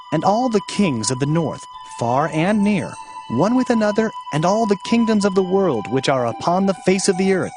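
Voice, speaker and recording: An actor playing an impassioned male prophet warning his people, emphatic and solemn in a dramatized scripture reading. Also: on the high side. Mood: solemn, declamatory.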